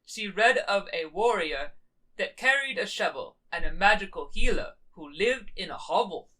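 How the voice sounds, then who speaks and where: A woman's voice: mid-range voice, expressive, normal speed, pushed and bright, poor audio. A woman voicing a solemn zebra storyteller who narrates a storybook tale in rhyme. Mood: solemn storytelling. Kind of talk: scripted rhyming storybook narration.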